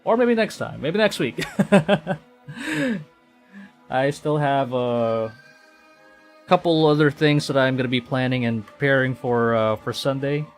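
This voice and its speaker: A man from the Philippines chats casually as he hosts a game livestream. His delivery is unhurried and halting, and he often circles back to restate a phrase. Faint music plays behind his mid-pitched voice. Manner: hesitant, halting, repeating and rephrasing phrases. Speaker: VTuber livestream host.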